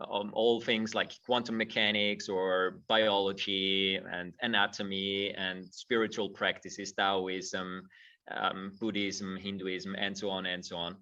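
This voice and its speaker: A middle-aged man speaks thoughtfully and often restarts his phrases. His voice is low and bright. He sounds far from the mic, and the audio is dull and muffled. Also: level tone, slow. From Austria. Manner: thoughtful, repeats words when starting phrases. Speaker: guest, movement teacher. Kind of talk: conversational interview.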